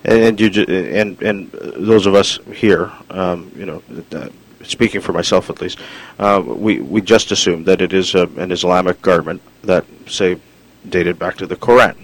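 Brief: male internet radio host, low voice, halting delivery with lots of fillers. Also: low-quality recording. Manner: hesitant, many uh fillers. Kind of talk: interview with reading of an introduction.

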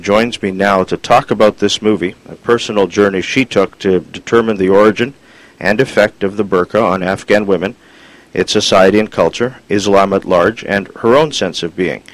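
Brief: male internet radio host, low voice, halting delivery with lots of fillers. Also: low-quality recording. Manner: hesitant, many uh fillers. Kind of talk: interview with reading of an introduction.

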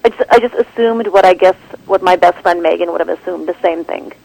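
A woman film director answering interview questions in an animated, rambling way, full of restarts and "like". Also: on the low side, brisk, gravelly, low-quality recording. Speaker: documentary director, guest.

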